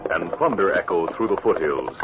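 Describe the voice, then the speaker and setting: A man's voice: deep voice, animated, normal speed, noisy background. A man narrating a 1950s radio adventure as its announcer in a dramatic, scripted style. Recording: muffled old radio recording.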